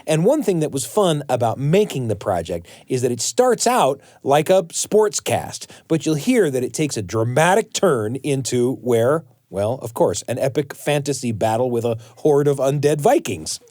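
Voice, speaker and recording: A man's voice: medium pitch, big pitch swings, moderate pace, hardly any pauses, a little mellow, no room sound. A man hosting a family audio drama podcast with a scripted introduction. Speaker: podcast host.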